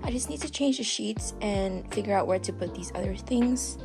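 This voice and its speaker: A woman's voice: mid-range voice, moderate pace, vocal fry. A young woman talking casually to her phone camera in her bedroom while she tidies up. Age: young adult. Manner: relaxed, chatty, talks to the camera. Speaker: vlogger. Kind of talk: casual vlog narration. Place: home bedroom, indoors. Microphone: phone camera held close. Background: lo-fi background music.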